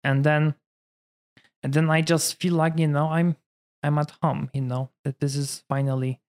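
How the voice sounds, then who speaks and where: A man in his thirties from Poland, a priest speaking English with a Polish accent in a relaxed conversation, full of "you know" fillers. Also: a little high, moderate pace, clear, resonant tone. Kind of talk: casual conversation.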